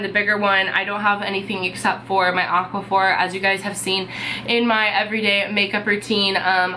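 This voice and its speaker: A woman's voice: medium pitch, bright, forceful tone, a little hiss or hum, rough recording. A congested young woman, chatting casually to camera as a beauty vlogger in her bedroom, full of "um" and "like". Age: young adult. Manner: chatty, many fillers like "um" and "like". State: sick and congested. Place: bedroom, indoors.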